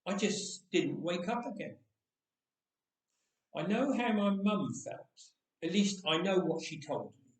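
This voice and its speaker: A man's voice: sits high, expressive, unhurried. Man reading as a candid young boy, echoey room. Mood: matter-of-fact, reflective. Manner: chatty, matter-of-fact, confiding. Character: candid thirteen-year-old boy recalling his own death. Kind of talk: first-person storybook reading. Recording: echoey room, distant microphone.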